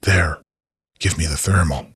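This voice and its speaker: A very husky, low-voiced old male scientist, performed by a male narrator in a sci-fi audiobook. He is impatient and condescending, giving curt orders as the one in command. The sound is close and dry. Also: measured pace, fairly bright tone, dry recording, full character voice.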